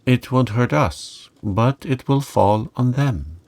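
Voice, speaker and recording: Man playing solemn statue child, storybook tale, grave, gentle, very slow, slightly creaky. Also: medium pitch, lively intonation, pitched above the performer's own voice. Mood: grave, foreboding. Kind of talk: storybook character reading.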